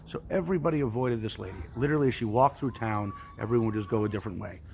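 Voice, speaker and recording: Fast-talking male storyteller with gravelly edge. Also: on the low side, darkish tone, slight background noise. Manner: casual, colloquial, acts out examples. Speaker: youth program storyteller and teacher. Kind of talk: animated religious storytelling for children.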